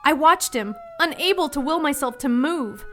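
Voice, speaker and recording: A woman's voice: sits high, lively intonation, normal speed, fairly bright tone, slight background noise. An actress playing a plucky, anxious 1940s young woman who narrates her own adventure in an audio drama, earnest and dramatic. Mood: anxious narration.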